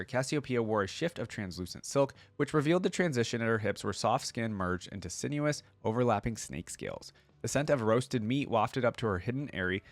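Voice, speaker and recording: A man's voice: medium pitch, no echo. A man hosting a reaction video, reading a story aloud expressively and adding casual asides of his own.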